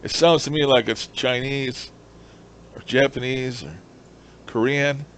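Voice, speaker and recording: A man's voice: medium pitch, fairly even intonation, measured pace, noisy background. A man chatting casually in a folksy, rambling way as he explains his hobby. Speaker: shortwave radio hobbyist presenter.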